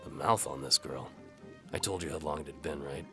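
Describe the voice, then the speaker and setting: A man's voice: rumbling low, a little fast, over music. A man playing a sardonic, world-weary bow for hire, narrating his own story in noir audio drama style. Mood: dry, wry.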